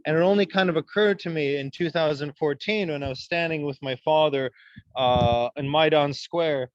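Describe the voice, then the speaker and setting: Young guy from ukraine, russian first language, lots of ums and uhs, slightly high voice, muffled dry remote audio. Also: normal speed, no echo. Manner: hesitant, many fillers and restarts. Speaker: guest. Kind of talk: casual interview answers.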